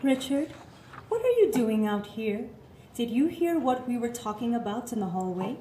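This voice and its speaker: A high, lively-pitched young nun played by a woman speaks soothingly in a live radio play. She is gentle and reassuring, in a mellow voice. The room echoes around her with some noise. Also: normal speed. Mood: concerned. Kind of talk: live stage radio theatre acting. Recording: roomy live stage, clear background noise.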